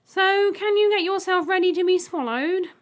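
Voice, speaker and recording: A woman's voice: very high-pitched, normal speed, pitched above the performer's own voice. A woman voicing a polite, blunt robot egg in an audiobook, speaking in a formal, literal way. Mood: brisk instructing. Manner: formal, literal, matter-of-fact. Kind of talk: audiobook character voice.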